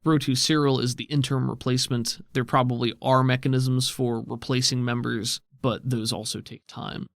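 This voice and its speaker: A man's voice: mid-range voice, flat delivery, moderate pace, creaky, few pauses, dry recording, clean studio sound. A man narrating and running a tabletop game, explaining rules in an easygoing way. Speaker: game master.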